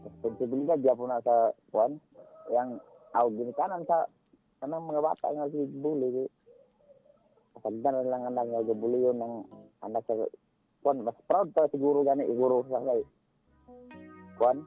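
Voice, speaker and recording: A male caller with a Cebuano accent comes through a narrow, tinny phone line, with music playing underneath. His voice is mid-low and informal, and he gropes for words as he chats. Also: moderate pace, pauses often. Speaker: radio show caller. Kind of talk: casual advice chat. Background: background music.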